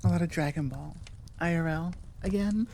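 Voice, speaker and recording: Chill lady talking to her listeners, soft dark tone, kinda low, rambles and corrects herself, playful. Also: normal speed, vocal fry, slight background noise. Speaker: ASMR creator, channel host. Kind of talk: casual chat outro to listeners.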